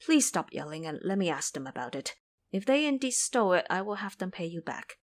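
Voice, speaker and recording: A woman's voice: lowish voice, sing-song, fairly quick. Woman reading a pious male master monk, earnest, pleading.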